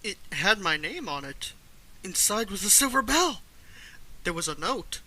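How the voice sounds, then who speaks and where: A teenage boy reads storybook narration for a radio play. His voice is high and very bright, with a forceful edge. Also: sing-song, a little slow, rough recording.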